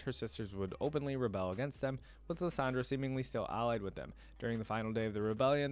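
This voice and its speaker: A man with a fairly low voice hosts a reaction video. He moves between reading text aloud and adding his own casual, conversational commentary.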